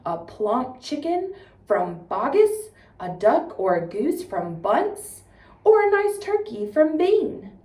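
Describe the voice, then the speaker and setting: A woman voicing a sly, suave male fox, a doting husband in a children's storybook, with a drawn-out, relishing delivery. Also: high-pitched, big pitch swings, darkish tone, exaggerated voice. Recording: roomy, echoing, distant microphone.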